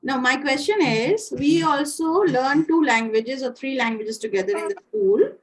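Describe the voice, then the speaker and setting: A woman's voice: sits high, normal speed. A woman whose first language is Bengali, teaching a class in English and questioning her students, with frequent fillers. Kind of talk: classroom discussion.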